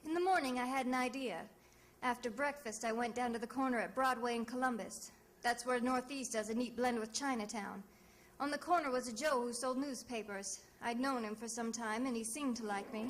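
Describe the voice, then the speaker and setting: An actress playing a tough, wisecracking female private detective in an old-time radio drama, brisk and sardonic. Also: on the high side, a little hiss or hum, close to an everyday voice. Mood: wry narration. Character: wry, tough female private detective narrating her case. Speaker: radio drama lead and narrator.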